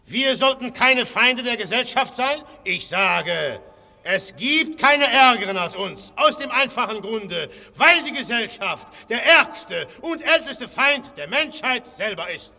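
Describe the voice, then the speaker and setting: An actor playing a cold, scornful, fanatical anarchist agitator with cutting, commanding rhetoric in a radio play. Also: male voice, extremely high pitch, lively intonation, unhurried, gravelly, pushed and bright, full character voice. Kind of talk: German radio play acting. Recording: echoey hall with long reverb.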